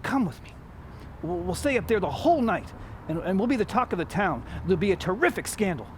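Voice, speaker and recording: A man's voice: high voice, very animated, a little fast. An actor playing a restless, kindhearted young man in a radio drama, with a hesitant, folksy way of talking. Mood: eager, dreamy. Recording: faint background noise.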